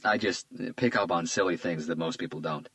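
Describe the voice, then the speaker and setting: A man's voice: low voice, fairly quick, fairly bright tone, no echo. A male narrator voicing an observant, understated detective who talks in a modest, matter-of-fact way.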